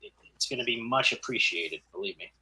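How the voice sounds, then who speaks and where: A man's voice: mid-range voice, animated, brisk, sharp tone, rough recording. A man chatting casually as a filmmaker guest, often repeating words as he goes. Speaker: independent filmmaker, podcast guest. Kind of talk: casual chat.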